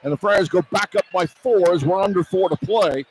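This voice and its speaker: A man calling live basketball play-by-play on radio from a noisy arena, in excited, clipped phrases that follow the action. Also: sits high, a little fast. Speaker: play-by-play announcer. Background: loud arena noise.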